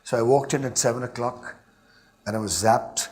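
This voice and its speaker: A middle-aged man with an Indian English accent speaks from a stage, his pitch sitting in an ordinary middle register. He talks emphatically as a radio DJ giving a motivational address. He drives his points home by repeating phrases in rhythmic lists. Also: moderate pace, a little mellow. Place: stage in a hall. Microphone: stage microphone.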